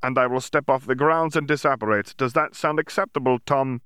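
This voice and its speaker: Man playing a cornered dark wizard, high raised voice, taunting, sharp, bargaining. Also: fairly even intonation, fairly quick, clean tone, no room sound, studio quality, natural voice.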